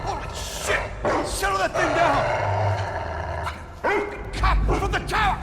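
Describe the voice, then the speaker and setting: An actor playing a panicked, foul-mouthed street thug in a game cutscene, shouting. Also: male voice, very high-pitched, slow, gravelly, heavy background noise, full character voice. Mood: alarmed, aggressive. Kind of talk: video game cutscene acting. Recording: echoey, music behind.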